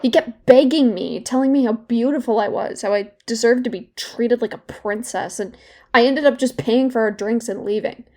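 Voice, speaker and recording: An actress playing a young woman in therapy in an audio drama, bitter and regretful, confiding in a halting, sardonic, conversational way. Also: a little high, darkish tone. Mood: wry, indignant. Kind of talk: naturalistic audio drama monologue.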